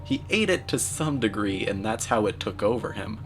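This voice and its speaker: Deadpan low-voiced man narrating over music. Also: moderate pace. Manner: deadpan, mock-serious. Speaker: narrator, video commentator. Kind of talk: scripted commentary narration.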